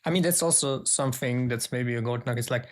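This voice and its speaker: A man's voice: mid-range voice, fast. A man hosting a podcast interview, chatting casually, often affirming his guest and explaining ideas. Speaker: podcast host.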